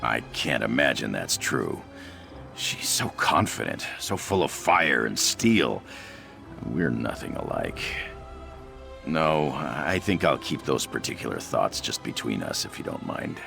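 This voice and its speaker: Male actor as earnest young half-orc game character: rumbling bass with fry, swinging intonation, self-effacing. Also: sing-song, a little slow, music in the background, heavily acted. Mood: bashful, wistful. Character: young half-orc, half-cat-folk man, earnest, shy, lovestruck. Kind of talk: video game character dialogue, AI-voiced.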